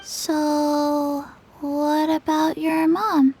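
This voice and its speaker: Very slow, deadpan little girl. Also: high-pitched, a little hiss or hum, rough recording. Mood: blank, earnest. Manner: halting, deadpan. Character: small undead zombie girl, slow, blank, sweetly earnest. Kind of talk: video game voice acting. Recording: clear game music behind.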